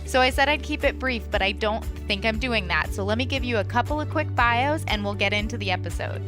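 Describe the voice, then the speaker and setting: A woman hosting a veterinary podcast, reading an upbeat, chatty introduction of her guests. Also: mid-range voice. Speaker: podcast host.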